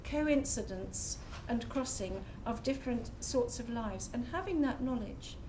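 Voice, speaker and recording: Woman, panelist, fairly high voice, faint hum behind, earnest, measured. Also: lively intonation. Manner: earnest, measured, arguing a point. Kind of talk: panel discussion. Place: public talk venue.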